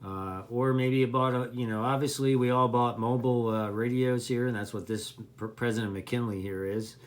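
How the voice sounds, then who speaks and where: A man's voice: mid-range voice, steady tone, normal speed. A man giving unscripted, casual beginner advice as a hobbyist presenter, in a rambling, plainspoken, conversational way. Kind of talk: unscripted casual explanation to camera.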